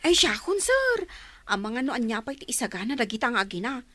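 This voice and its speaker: A woman with an Ilocano Filipino accent playing a bossy, sharp-tongued, meddling housemaid in a comedy radio drama. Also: a little high, sing-song, brisk, dry recording. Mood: grumbling.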